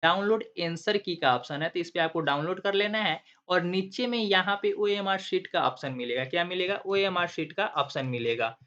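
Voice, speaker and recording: A man's voice: high voice, normal speed, hardly any pauses, fairly bright tone. Male teacher repeating every step, lo-fi. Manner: asks himself questions and repeats the answers like a teacher. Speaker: exam coaching teacher and channel host. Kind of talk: screen-recorded tutorial explaining step by step. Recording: dull lo-fi recording.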